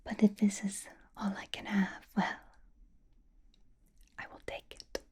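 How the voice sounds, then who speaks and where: Casting: woman as elegant, doting vampiress for ASMR roleplay; unhurried, coaxing, heavily whispered and breathy. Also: medium pitch, completely flat delivery. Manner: intimate, coaxing, slow and genteel. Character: doting, possessive, elegant female vampire at a gala.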